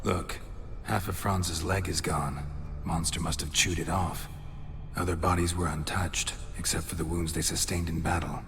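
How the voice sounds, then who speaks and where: A low, gravelly American voice a stoic game monster hunter. He is world-weary and wry, speaking in terse, deadpan phrases. Music plays behind him. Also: moderate pace. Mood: brooding, matter-of-fact. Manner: terse, deadpan, clipped sentences. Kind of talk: video game dramatic acting.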